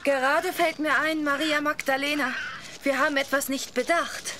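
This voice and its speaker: A woman's voice: high-pitched, fairly even intonation, moderate pace, natural voice. An actress playing an anxious, easily frightened biblical woman in a religious audio drama, fretful and wondering. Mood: worried. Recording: clear ambient noise behind.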